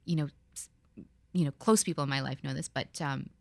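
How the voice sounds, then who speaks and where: A woman from the Philippines hosting a podcast interview. She talks in a reflective, relaxed way, with fillers and restarts. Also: rumbling low, fairly quick, gravelly, no room sound. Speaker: podcast host. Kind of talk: conversational interview.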